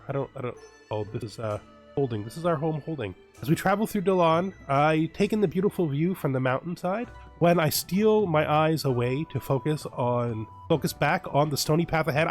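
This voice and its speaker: Man muttering through a strategy game. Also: fairly high pitch. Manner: thinks aloud, hesitant, often corrects himself. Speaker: let's play host. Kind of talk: casual gameplay commentary with read-out game text.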